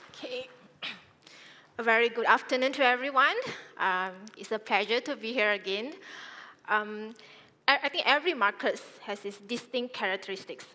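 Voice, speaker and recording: A woman's voice: medium pitch, sharp tone. A woman speaking as a presenter at a conference. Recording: reverberant hall, faint noise.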